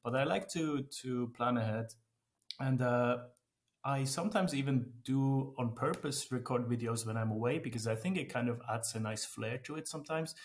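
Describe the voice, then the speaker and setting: A man's voice: mid-range voice, moderate pace. Swiss man chatting on a video call. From Switzerland. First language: Swiss German. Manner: relaxed, frequent restarts and "right?" tags. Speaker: guest, freelance translator. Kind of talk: casual interview chat. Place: small indoor room. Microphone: video call webcam mic.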